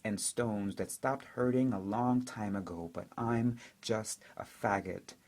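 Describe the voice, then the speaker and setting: A young man performing spoken word poetry, dramatic and rhythmic, swinging between hushed lines and loud, emphatic ones. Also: on the low side.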